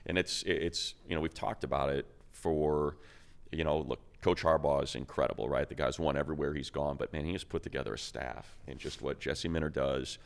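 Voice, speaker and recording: Very low-pitched man, gravelly fry, emphatic delivery, sports talk co-host, conversational analysis. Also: fairly even intonation, fairly quick.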